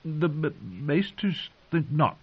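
A man voicing a timid, awkward young man who stammers and squeaks. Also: moderate pace, close to an everyday voice. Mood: nervous explaining. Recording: muffled lo-fi recording.

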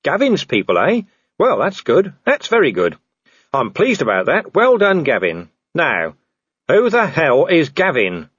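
A man's voice: a little high, huge pitch swings, a little slow, a bit bright, slightly rough, no room sound, muffled recording, voice pushed up, heavily acted. A man voicing a sardonic, exhausted older police commander with an irritable, growling manner, in an audiobook. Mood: exasperated. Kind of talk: audiobook character acting.

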